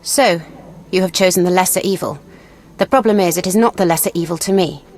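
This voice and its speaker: A woman's voice: fairly low pitch, fairly quick, lightly acted. An actress playing a proud, betrayed young woman in a video game, formal and accusing. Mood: bitter, accusing.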